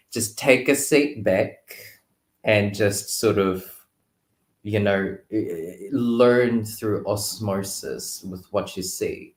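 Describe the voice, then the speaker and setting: A man talking casually as a guest tarot reader, thinking aloud in a halting, reflective way. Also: low-pitched. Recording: roomy, echoing sound.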